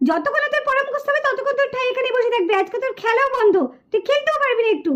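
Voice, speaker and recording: A woman's voice: very high voice, animated, racing, fairly bright tone, dull audio. A woman voicing a mother who scolds her daughter and dotes on her son. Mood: scolding. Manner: scolding, coaxing. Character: mother Pushpa, scolding but doting.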